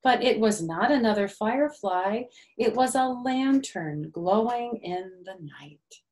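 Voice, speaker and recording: A woman's voice: medium pitch, animated, takes it slowly, darkish tone, low-quality recording. An older woman reading a picture book aloud to young children, with simple phrasing and little questions and asides to the listeners.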